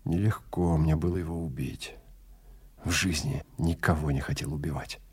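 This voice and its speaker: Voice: extremely low pitch, steady tone, moderate pace, a little mellow, husky, pitched below the performer's own voice, exaggerated voice. A man acting a tense, weary husband on the run in an audio drama, speaking in a grave, terse way.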